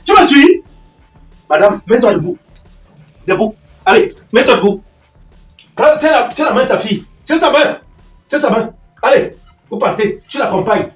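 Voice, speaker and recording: A man's voice: very high voice, lively intonation, normal speed, pauses often. A man acting as an exasperated, domineering middle-aged father in a heated domestic drama, indignant and commanding, repeating his questions and orders. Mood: angry, commanding. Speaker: actor. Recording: roomy echo, distant mic, music.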